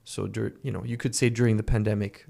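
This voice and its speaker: A man who is a podcast guest, answering questions in a relaxed way with lots of filler words. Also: fairly low pitch, fast talker, creaky. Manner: relaxed, lots of "you know" and "um". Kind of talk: casual interview answers.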